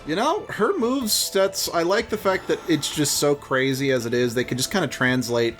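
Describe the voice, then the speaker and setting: A man's voice: a little high, animated, normal speed, over music. A man giving casual let's play commentary, explaining trivia and opinions at length. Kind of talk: casual gaming commentary chat.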